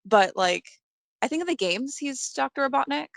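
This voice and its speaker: A woman's voice: high voice, lively intonation, moderate pace, gravelly, no room sound. A woman chatting casually as a panelist on a movie podcast.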